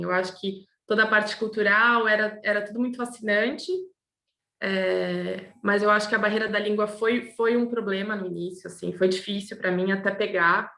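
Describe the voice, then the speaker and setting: A woman's voice: mid-range voice, gravelly, bright, forceful tone. A woman from Brazil, an architect, talking casually and thoughtfully with many fillers and restarts. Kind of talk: casual recorded talk to students. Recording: echoey room.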